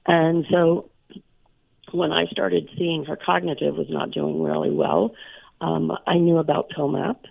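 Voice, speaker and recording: Hoarse woman co-host calling in by phone; gravelly, raspy, casual and filler-heavy. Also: deep voice, steady tone, unhurried. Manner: chatty, many fillers. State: hoarse, recovering from illness. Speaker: radio co-host. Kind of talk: casual radio chat. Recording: tinny phone line.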